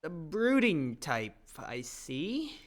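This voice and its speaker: A performer voicing a curious, encouraging tavern keeper in a fantasy visual novel, gently probing. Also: mid-range voice, big pitch swings, drawn-out words. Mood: musing.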